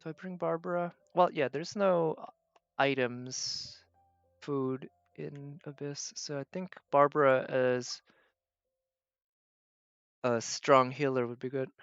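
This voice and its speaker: A woman giving casual livestream game commentary, thinking aloud in halting fragments as she plays. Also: rumbling low, fairly even intonation, clear, resonant tone, dry recording, lo-fi sound, soft background music. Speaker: game streamer.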